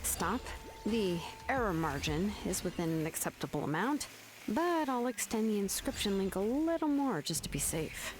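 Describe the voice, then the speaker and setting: A woman's voice: medium pitch, very animated, moderate pace, natural voice. A woman playing a composed young craftswoman in a video game, laid-back yet exacting, explaining things casually. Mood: focused. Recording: game music behind the voice.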